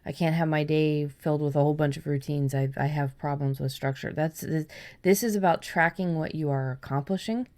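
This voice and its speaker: A woman's voice: sits low, steady tone, brisk, darkish tone. A woman hosting a podcast, giving conversational advice in an explanatory, encouraging way. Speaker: podcast host.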